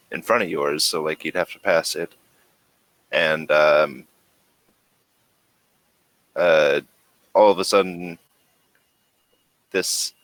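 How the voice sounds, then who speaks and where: A man running a tabletop horror game as game master, narrating in a slow, suspenseful way with pauses. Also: low-pitched, fairly bright tone, no room sound.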